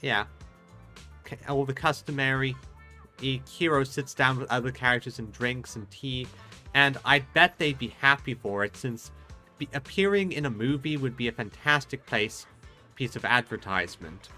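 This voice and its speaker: A man gives casual commentary over a video game in a bright, mid-pitched voice. He speaks haltingly, often restarting phrases, with faint game music behind him. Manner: halting, repeats words mid-sentence. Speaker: game streamer.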